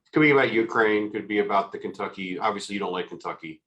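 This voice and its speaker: A man with a deep, low voice moderates an online discussion. His hosting is informal and conversational, as part of a video meeting. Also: fast talker, fairly bright tone, dull audio.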